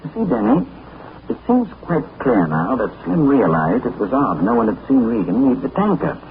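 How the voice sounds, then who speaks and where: An actor playing a cool, witty adventurer captain who talks in a breezy, unflappable way with dry wit. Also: male voice, medium pitch, very animated, moderate pace. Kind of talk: 1940s radio acting. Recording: tinny, hissy 1940s radio broadcast.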